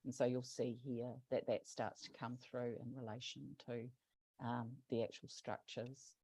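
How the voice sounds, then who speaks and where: A man presenting a project in an online webinar, talking haltingly with many fillers and restarts. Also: mid-range voice, level tone, mellow, no room sound. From New Zealand. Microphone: video call. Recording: dull lo-fi call audio.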